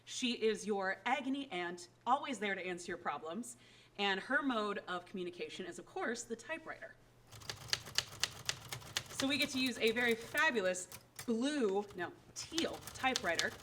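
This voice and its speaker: An enthusiastic woman with a bright, forceful voice, cheerfully showing off sound effects from her troupe's shows. She talks in a casual, gushing way. A faint hiss and some clicks sit behind her. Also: medium pitch, expressive, moderate pace. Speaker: radio drama troupe member. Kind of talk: casual demonstration talk.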